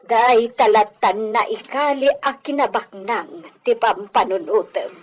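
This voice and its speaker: A woman's voice: fairly high pitch, measured pace, natural voice. A woman playing a skeptical, level-headed village confidante in an Ilocano audio drama. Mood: doubtful.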